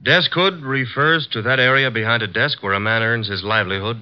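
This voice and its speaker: An actor playing a brisk, wisecracking male assistant detective in a 1950s radio drama, matter-of-fact and wry, on a muffled old broadcast recording. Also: mid-range voice, clear, resonant tone, close to an everyday voice.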